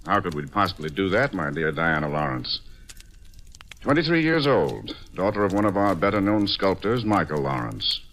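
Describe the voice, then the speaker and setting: An actor playing a suave, condescending middle-aged doctor in a 1940s radio drama. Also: male voice, low-pitched, big pitch swings, normal speed, a bit bright, heavily acted. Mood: smug. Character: smug, controlling sanitarium doctor, coolly condescending.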